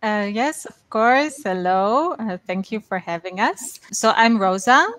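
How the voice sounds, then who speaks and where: A young woman from the Netherlands speaks as a guest on a video call, using a headset mic in a small room. Her talk is earnest and steady, with frequent ums. The sound is dry and close. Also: mid-range voice, unhurried, no echo. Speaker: guest activist.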